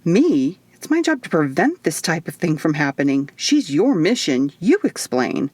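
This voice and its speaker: A woman's voice: low voice, big pitch swings, normal speed, a little mellow, husky, dry recording, exaggerated voice. A woman voicing a stern, matter-of-fact male alien guardian in human form for an audiobook, explaining things firmly. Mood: exasperated. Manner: firm, explanatory. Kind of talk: audiobook character dialogue.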